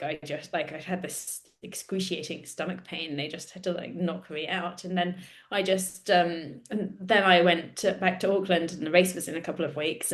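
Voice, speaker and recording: Chatty woman podcast guest. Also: sits low. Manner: chatty, laughs often, self-deprecating. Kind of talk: casual interview chat.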